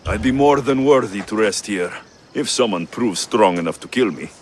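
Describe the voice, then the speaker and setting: A self-assured mercenary hero from a game cutscene, voiced by a man. His voice sits on the low side, dry and cocky. Faint ambience runs underneath. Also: expressive, normal speed, slight background noise, lightly acted. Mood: confident.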